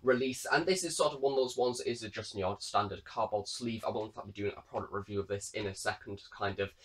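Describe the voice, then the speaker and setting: A man's voice: on the low side, fast, fairly bright tone. A British man in his late teens giving a casual, wordy product review to camera. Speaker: YouTube reviewer.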